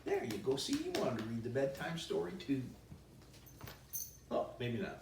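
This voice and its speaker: A man reads a children's story aloud with lively, wide-swinging intonation. His delivery is playful and expressive, slipping easily into chatty asides. The voice sits at a middling pitch and comes through a roomy echo, set back from the microphone. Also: moderate pace, vocal fry, far from the mic, background noise. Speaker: children's author reading his own book. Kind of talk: animated bedtime story read-aloud.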